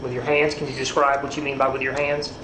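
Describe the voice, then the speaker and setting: A man's voice: medium pitch, flat delivery, quick pace. An American man working as a police interrogator, asking short, probing questions. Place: indoor police interview room. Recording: echoey, noisy interrogation room audio.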